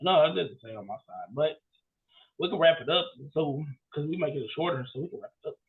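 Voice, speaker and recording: A man co-hosting a casual podcast, telling stories and ranting in an animated, slangy, exclamatory way. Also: on the high side, a little fast, narrow, tinny audio. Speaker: podcast co-host. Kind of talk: casual chat, storytelling and ranting.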